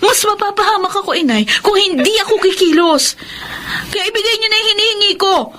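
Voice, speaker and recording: High-pitched headstrong Filipino daughter. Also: female voice, expressive, fairly quick, pushed and bright, heavily acted. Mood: defiant, demanding. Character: discontented, headstrong young daughter, demanding and blunt. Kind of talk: Filipino radio drama acting.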